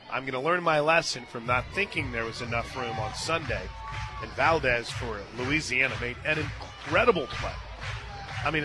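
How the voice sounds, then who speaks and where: A man calling softball live on radio as play-by-play announcer, mixing clipped pitch calls with conversational asides. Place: radio booth at an outdoor softball stadium. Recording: live stadium booth, clear crowd noise. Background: stadium crowd.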